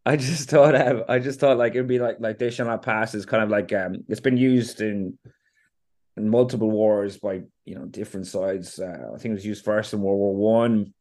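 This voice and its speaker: A man with an Irish accent, chatting as a guest on a podcast. His voice sits a little low, and he rambles through his points with frequent restarts and easy laughter. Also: fairly even intonation, moderate pace, darkish tone. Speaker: podcast guest, fan banner maker. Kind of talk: casual podcast chat.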